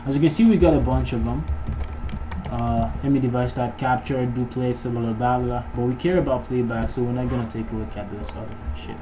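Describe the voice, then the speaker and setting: A man's voice: medium pitch, fairly even intonation, brisk. Man thinking aloud over noisy recording. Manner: casual thinking aloud while typing. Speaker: programming tutorial host. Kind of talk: informal live coding tutorial narration. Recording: clearly noisy recording, keyboard typing. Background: background noise, computer keyboard typing.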